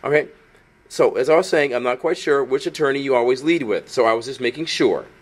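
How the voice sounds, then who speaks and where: A man's voice: mid-range voice, fairly quick. A man speaking as an outspoken activist journalist, wordy, insistent and argumentative. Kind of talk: outspoken video commentary and confrontational phone call.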